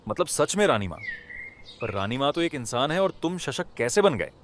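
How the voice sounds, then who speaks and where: A man's voice: medium pitch, very animated, moderate pace, slight background noise, lightly acted. A man voicing a kind-hearted, emotional poor villager in a Hindi cartoon, speaking in a dramatic, pleading way. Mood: astonished, eager. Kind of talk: Hindi animated moral story dialogue.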